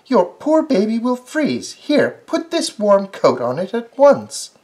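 A man voicing a shrill scolding Missus Squirrel. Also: wildly swooping pitch, takes it slowly, darkish tone, exaggerated voice. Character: fussy scolding female squirrel neighbor.

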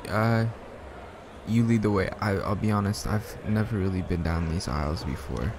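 A man acting a shy, smitten young man in an ASMR roleplay, speaking softly and hesitantly with bashful stammers. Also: deep voice, normal speed, background noise, natural voice. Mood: eager, helpful. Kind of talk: ASMR roleplay, soft one-sided conversational acting.

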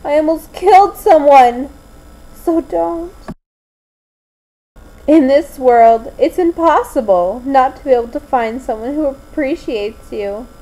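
A woman voicing a gloomy, solemn teenage anime schoolgirl with eerie powers, speaking deliberately in an amateur dub audition. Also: sits high, warm tone, poor audio. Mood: sad, pleading, then happy, tender. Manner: slow, deliberate, solemn delivery. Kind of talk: anime fan dub audition, acted lines.

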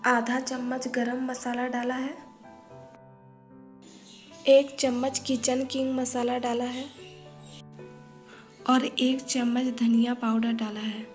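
A woman calmly narrating a home cooking recipe step by step, listing each ingredient in a methodical way. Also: on the high side, takes it slowly, pauses often. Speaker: cooking channel host. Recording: echoey room voice-over with faint music.

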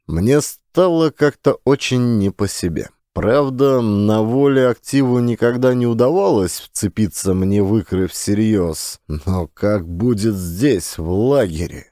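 Man, audiobook narrator, mellow dark voice, grave measured reading, dry studio sound. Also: lowish voice, no room sound. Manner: measured, grave, slow emphatic delivery. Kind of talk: scripted first-person memoir narration.

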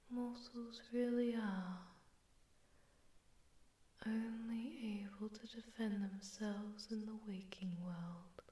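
A woman voicing a seductive, haughty female demon in a soft, breathy ASMR whisper, condescending and teasing, with an echoing distortion effect. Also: mid-range voice. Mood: menacing, disdainful. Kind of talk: whispered ASMR audio roleplay.

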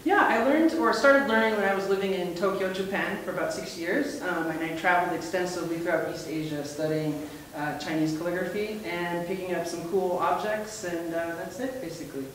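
A man in his thirties speaks relaxed and fluent, with frequent ums, as he explains indoors. The mic is set far away, and the room throws back a heavy echo. A faint hiss runs under his voice. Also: sits high, a little fast. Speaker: museum education coordinator. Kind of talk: casual gallery presentation. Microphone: far camera mic.

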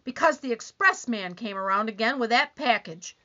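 Woman as whiny nagging wife, bright sharp voice, swooping pitch, radio comedy. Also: lively intonation, moderate pace. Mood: complaining. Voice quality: whiny, complaining. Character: nagging, put-upon wife, shrill and self-pitying. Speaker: community theater actor. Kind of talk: old-time radio comedy reading. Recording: dull lo-fi recording.

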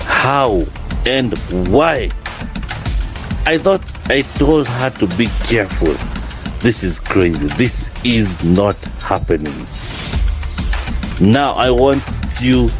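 A man's voice: lowish voice, lively intonation, slow pace. A man playing a bossy, suspicious husband in an audio drama, with a commanding, exclamatory manner. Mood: alarmed. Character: bossy, suspicious husband and crime boss, Mister Miller. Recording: music behind the voice.

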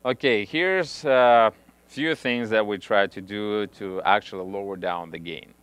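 A man in his thirties demonstrating audio gear in a small home studio, talking through the steps in halting phrasing with frequent restarts, on a headset mic. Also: on the low side, a bit bright, smooth. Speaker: audio equipment reviewer. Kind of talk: hands-on equipment demonstration.

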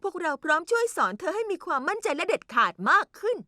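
A woman voicing a rough, confident, boisterous tomboyish kid in a Thai cartoon dub. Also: very high-pitched, animated, fast, sharp tone, no room sound, studio quality, exaggerated voice. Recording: music behind the voice.

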